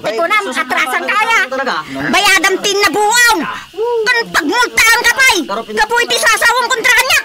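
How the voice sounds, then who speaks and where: A woman's voice: very high voice, moderate pace. A woman with a Filipino accent playing a quarrelsome, emphatic village neighbour in a radio drama. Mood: indignant, scolding. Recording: very noisy radio drama track.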